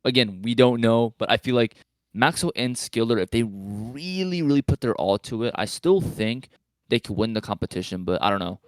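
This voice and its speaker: A man's voice: mid-range voice, lively intonation, moderate pace, dry recording. A man chatting casually as a panelist, tentative and rambling, often correcting himself mid-thought. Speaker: podcast panelist. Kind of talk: casual chat.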